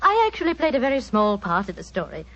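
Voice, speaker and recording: A woman's voice: mid-range voice, huge pitch swings, fast talker, a bit bright, heavily acted. A woman playing a modest, easily flustered middle-aged English lady with a genteel, hesitant manner, in 1940s radio acting style. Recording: dull old 1940s radio recording.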